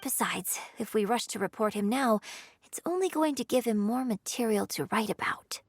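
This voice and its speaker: A woman voicing a composed, shrewd and caring young woman in a video game, speaking in a measured, poised way. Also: on the high side, lively intonation, no echo, clean studio sound. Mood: suspicious, calm. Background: music.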